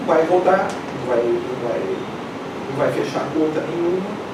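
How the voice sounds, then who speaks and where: A man's voice: on the high side, expressive, normal speed. A congested man on a committee speaking bluntly and emphatically at a meeting. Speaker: committee member. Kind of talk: municipal committee meeting discussion. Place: meeting room. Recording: echoey room, distant mic, loud noise.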